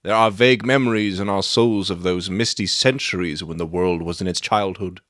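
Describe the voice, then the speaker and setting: A man playing a self-assured gentleman detective in a dramatic audiobook, theatrical and articulate as he expounds his deductions. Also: low-pitched, normal speed, no room sound, clean studio sound. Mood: musing, assured.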